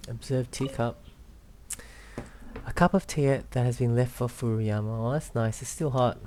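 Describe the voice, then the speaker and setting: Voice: medium pitch, normal speed, warm tone, slight background noise. A gamer giving casual let's-play commentary, thinking aloud and reading on-screen text. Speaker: gaming video host. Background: faint game music.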